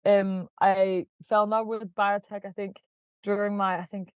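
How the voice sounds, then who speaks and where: A woman's voice: mid-range voice, flat delivery, unhurried, clean tone, no room sound. Chatty Danish-born woman, dry sound. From Denmark. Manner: chatty, laughs often, many "like" and "kind of" fillers. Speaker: guest, startup founder. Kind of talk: casual podcast interview.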